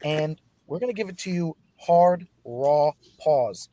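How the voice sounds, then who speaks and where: A man hosting a weekend livestream, energetic and emphatic, moving from bold lines to quieter asides, then reading out an article. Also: on the high side, slow, a little hiss or hum. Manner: energetic, emphatic, swings between loud and soft. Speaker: host.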